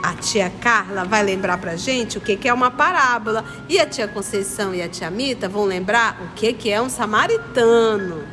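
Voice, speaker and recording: A woman's voice: medium pitch, moderate pace, few pauses. A woman with a northern Brazilian accent from Manaus teaches a children's lesson, explaining step by step, repeating points and putting questions to her listeners. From Manaus, Amazonas, Brazil. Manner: didactic, repetitive, questioning listeners. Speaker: children's religious study teacher. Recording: long reverberant echo, music behind. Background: clear background music.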